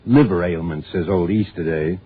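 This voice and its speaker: A man's voice: low-pitched, normal speed, breathy edge. An American actor playing a crusty, suspicious, opinionated man in a 1950s radio drama, talking in a folksy, emphatic grumble. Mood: suspicious, grumbling. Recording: thin, phone-like old radio recording.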